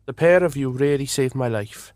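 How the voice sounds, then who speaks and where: A man's voice: mid-range voice, normal speed, darkish tone, dry recording, clean studio sound, close to an everyday voice. An actor, a man, playing a grateful, earnest shopkeeper with a hesitant manner in a comedic radio drama. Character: grateful, earnest male shopkeeper, crime victim.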